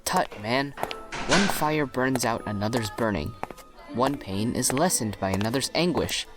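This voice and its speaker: A man's voice: mid-range voice, lively intonation, measured pace, loud music behind the voice, lightly acted. A man playing a sensible, teasing young nobleman and loyal friend in a Shakespearean audio play. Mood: advising, insistent.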